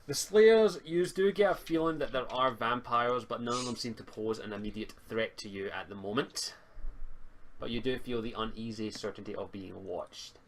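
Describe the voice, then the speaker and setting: A man's voice: mid-range voice, moderate pace, a little hiss or hum. A man acting as game master, improvising narration for a tabletop roleplaying game in a hesitant, stammering way.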